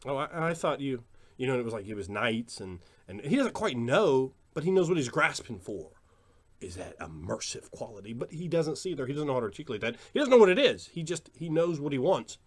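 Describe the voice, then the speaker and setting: An emphatic man talks casually to his viewers about his opinions. His voice sits at a middle pitch, and he repeats phrases to drive points home in a loose vlog monologue. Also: sing-song, fast talker. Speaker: tabletop role-playing video creator.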